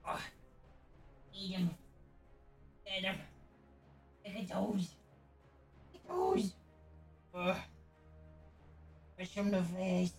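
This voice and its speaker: A woman's voice: medium pitch, big pitch swings, very slow, pauses often. A woman baby-talking to a pet dog in short, cooing, repeated phrases. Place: indoor room, away from the mic. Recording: roomy, distant from mic, music behind.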